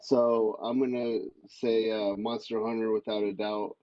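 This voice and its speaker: A man on a podcast panel chatting casually in a blunt, deadpan way with some swearing, in dull lo-fi sound. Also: on the low side, unhurried, no echo. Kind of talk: casual chat.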